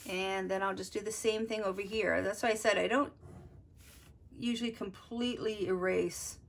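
A woman's voice: mid-range voice, steady tone, moderate pace, gravelly. A woman art teacher giving a step-by-step drawing lesson, explaining as she works and thinking aloud. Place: desk, overhead camera on drawing.

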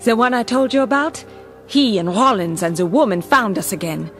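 A woman's voice: mid-range voice, lively intonation, normal speed, soft background music, close to an everyday voice. A woman playing a gangster who defers to her boss, in a comic-book audio drama. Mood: anxious.

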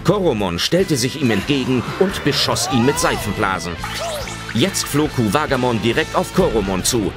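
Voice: mid-range voice, sing-song, normal speed, loud music behind the voice, close to an everyday voice. A narrator telling a children's adventure audio drama in dramatic scripted narration.

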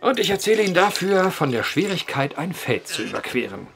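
An actor playing a wry male journalist who tells an eerie old tale with deliberate phrasing in an audio drama. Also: sits high, sing-song, gravelly, fairly bright tone, soft background music, heavily acted. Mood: measured storytelling. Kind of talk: German audio drama acting, storytelling.

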